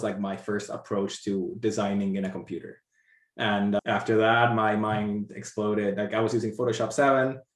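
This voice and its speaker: A young man from Argentina, speaking as an interview guest in a relaxed, rambling way with many "like" fillers. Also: lowish voice, echoey room. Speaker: interview guest, brand designer. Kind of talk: casual interview conversation.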